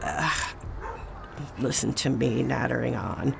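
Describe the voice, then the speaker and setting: An elderly woman, kindly and hospitable, talks slowly with a gravelly creak. She rambles in a folksy audio-drama manner, with clear outdoor noise and a dog around her. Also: rumbling low, full character voice. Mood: folksy, chatty. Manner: rambling, folksy, coughing between phrases.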